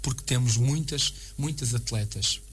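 A man with a European Portuguese accent giving a casual interview as a coach, haltingly and often repeating words and phrases. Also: medium pitch. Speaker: basketball coach, interview guest. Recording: clear background noise and hum.

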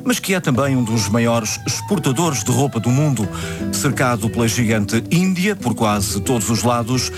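A man with a European Portuguese accent hosting a radio interview, reading a scripted introduction in an even presenter manner. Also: medium pitch, normal speed. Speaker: radio host. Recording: radio broadcast with music bed.

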